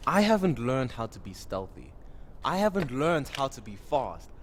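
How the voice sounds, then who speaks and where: A man's voice: mid-range voice, very animated, normal speed, a little hiss or hum, natural voice. A man playing an anxious, confused newcomer who protests incredulously in an audio drama. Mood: indignant. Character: anxious, hapless, confused male newcomer to a deadly wasteland.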